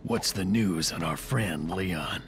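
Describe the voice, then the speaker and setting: An actor playing a menacing middle-aged American ex-soldier turned villain in a video game, taunting and deliberate. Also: male voice, low-pitched, slight background noise. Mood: businesslike. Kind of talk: video game cutscene acting. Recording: game music behind.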